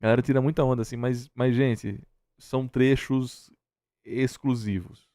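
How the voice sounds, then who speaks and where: A man's voice: medium pitch, fairly even intonation, moderate pace, dark tone, no echo, clean studio sound. A man with a Brazilian accent giving casual reaction commentary, often repeating himself for emphasis. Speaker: streamer reacting to a video.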